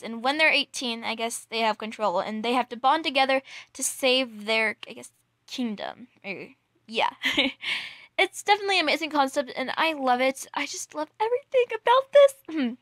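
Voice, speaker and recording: A young woman chatting casually to viewers in a gushing, excitable, giggly manner, as an amateur voice actress promoting a project. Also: high voice, moderate pace, dry recording, clean studio sound. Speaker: amateur voice actress introducing a role.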